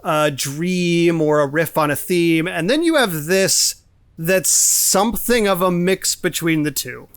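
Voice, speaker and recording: A man's voice: fairly high pitch, unhurried, no echo. A man co-hosting a podcast, discussing films casually and informally, with some swearing. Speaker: podcast co-host.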